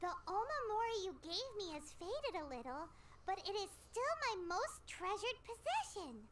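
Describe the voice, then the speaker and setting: Squeaky, very animated little fairy girl. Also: moderate pace, heavy background noise. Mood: curious. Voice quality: squeaky, childlike. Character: small perky childlike fairy companion, curious and chatty. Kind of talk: video game voice acting.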